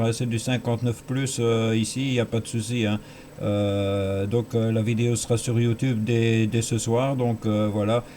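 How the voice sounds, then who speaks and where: A man's voice: medium pitch, dark tone. Belgian man chatting on radio, faint noise. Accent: Belgian French. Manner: repeats words and phrases for emphasis. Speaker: amateur radio operator. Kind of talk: casual two-way radio chat.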